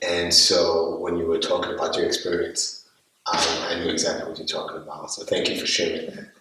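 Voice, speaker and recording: A male podcast host asking questions in an interview, supportive and gently probing. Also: low voice, completely flat delivery, fairly quick. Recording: echoey room, far from mic, faint noise.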